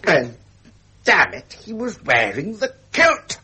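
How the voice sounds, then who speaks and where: Husky, worldly British royal heir. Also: male voice, high voice, huge pitch swings, slow, sharp tone, heavily acted. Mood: exasperated. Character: worldly, frustrated middle-aged royal heir uncle. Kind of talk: 1970s British radio drama acting.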